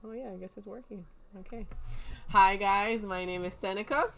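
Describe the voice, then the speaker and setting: A woman from Hawaii co-hosting a casual podcast chat, blunt and quick to joke with loud laughs. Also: medium pitch, creaky. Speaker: podcast co-host.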